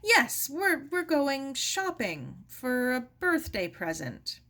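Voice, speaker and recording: A woman's voice: high-pitched, big pitch swings, pushed and bright, pitched above the performer's own voice, exaggerated voice. A woman reading a storybook, voicing a polite, nervous young girl with a hesitant way of talking. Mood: polite, eager. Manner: hesitant, halting. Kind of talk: children's storybook read aloud.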